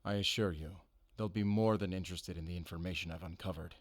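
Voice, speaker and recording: A man's voice: low-pitched, fairly even intonation, fast. An actor playing a guarded, determined male werewolf hunter on a spy mission, speaking tersely in an audio drama. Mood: resolute. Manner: terse, clipped, businesslike. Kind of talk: cinematic audio roleplay acting.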